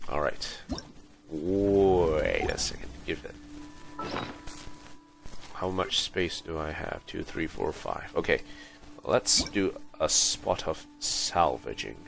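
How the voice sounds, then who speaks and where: A man's voice: a bass voice, very animated, slow pace, vocal fry, pauses often, a bit bright, faint music behind. A man livestreaming a game, muttering commentary to himself in short fragments as he plays. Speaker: game streamer. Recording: noisy livestream mic. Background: background noise.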